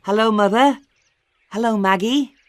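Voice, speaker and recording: A woman voicing a proud, quick-tempered eleven-year-old boy in a storybook reading, blunt and sulky. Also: fairly high pitch, dry recording, voice pushed up, natural voice. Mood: cheerful.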